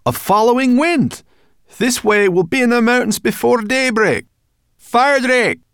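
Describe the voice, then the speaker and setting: A man's voice: fairly high pitch, moderate pace, no echo, studio quality, voice pushed up, close to an everyday voice. A man voicing a bossy, grumpy female brownie with a sarcastic, scolding manner, in a children's storybook reading. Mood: brisk, cheery. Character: bossy, grumpy female furry brownie, sharp-tongued and cocky. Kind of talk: children's audiobook character voice.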